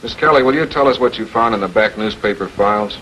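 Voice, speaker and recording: An American actor playing a shrewd public prosecutor in 1940s television crime drama style, questioning in a deliberate, authoritative way. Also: male voice, fairly low pitch, a bit bright, lightly acted. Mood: confident, accusing. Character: shrewd, composed male public prosecutor confronting murder suspects. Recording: noisy 1940s film soundtrack.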